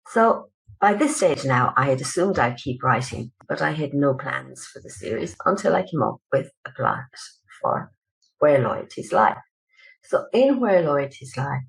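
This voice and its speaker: Gravelly Irish woman author. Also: low voice, low-quality recording. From Ireland. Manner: chatty, explaining plot step by step. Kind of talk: casual talk about her books.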